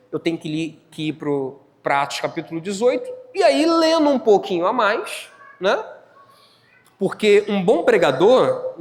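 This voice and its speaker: A man with a Brazilian Portuguese accent preaching a church sermon as a pastor, teaching step by step, repeating phrases and putting check-in questions to his listeners. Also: a little high. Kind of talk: church sermon with Bible exposition. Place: church hall, live service. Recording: long hall reverb.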